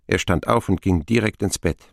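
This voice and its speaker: An actor playing an older man who wryly recalls his boyhood as the first-person narrator of a radio drama, expressive in his telling. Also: low voice, normal speed, gravelly, dry recording, clean studio sound. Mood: rueful. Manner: wry, expressive storytelling.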